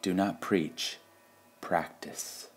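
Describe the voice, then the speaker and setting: A man reads short spiritual sayings in a measured, deliberate devotional style, pausing between phrases. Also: low-pitched, steady tone. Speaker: reader of spiritual quotations. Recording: music under the voice. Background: background music.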